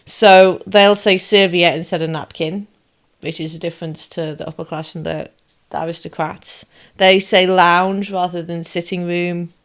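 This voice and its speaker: Woman on a podcast, low-ish voice, talks through lists and comparisons. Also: fairly even intonation, normal speed, few pauses. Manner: explains with lists and comparisons, asks if it makes sense. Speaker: podcast co-host. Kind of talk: casual explanatory chat.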